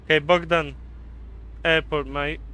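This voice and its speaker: A man's voice: fairly high pitch, little pitch movement, measured pace, pushed and bright, smooth. A man who grew up in Canada, chatting casually on a live stream while he drives in a game, reading and answering viewers by name. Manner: chatty, loose, reads and answers chat by name, calls viewers mate.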